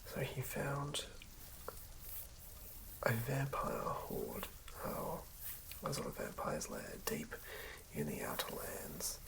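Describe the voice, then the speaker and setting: A person whispering softly in an ASMR style, narrating a tabletop character build in a breathy, creaky hush. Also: medium pitch, wildly swooping pitch, takes it slowly, pauses often, poor audio. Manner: hushed whispering. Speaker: ASMR creator.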